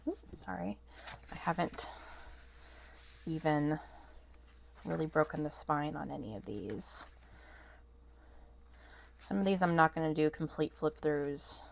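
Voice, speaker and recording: A woman's voice: sits low, takes it slowly, creaky, pauses often, a little hiss or hum. A woman with a stuffy, nasal voice from a cold, chatting casually at her desk as a vlog host, rambling with lots of ums and restarts. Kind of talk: casual haul vlog chat. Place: at a home desk.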